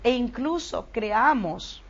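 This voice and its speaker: A woman speaks in an explanatory way, pausing on "em" fillers as she lays out her points. The recording is dull and lo-fi. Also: a little high, lively intonation. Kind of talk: expert explanation.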